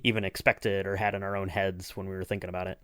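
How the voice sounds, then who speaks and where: A man hosting a podcast in casual chat, speaking haltingly as he thinks out what to say. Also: deep voice, little pitch movement, a bit bright, clean tone, no room sound, studio quality. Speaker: podcast host.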